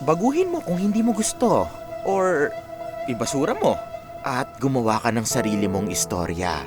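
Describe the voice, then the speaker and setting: A man playing an eager, persuasive film director in a radio drama, animated and bargaining. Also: mid-range voice, moderate pace, music in the background, natural voice.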